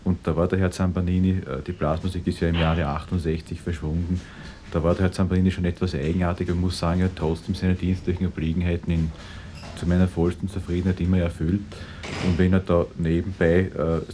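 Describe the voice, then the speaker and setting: An actor as a deep, flat-toned Viennese official. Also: male voice, a little hiss or hum, close to an everyday voice. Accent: Austrian, Viennese. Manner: bureaucratic, guarded, formal wording. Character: formal, measured Viennese engineer and civil-servant superior. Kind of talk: naturalistic mock-documentary interview in radio drama.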